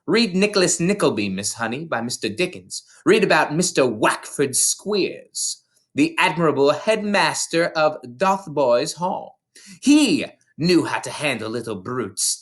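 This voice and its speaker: A reader voicing a bullying, contemptuous older headmistress from a storybook, scolding with heavy, drawn-out emphasis. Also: medium pitch, pitched above the performer's own voice. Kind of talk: storybook character voice in a read-aloud.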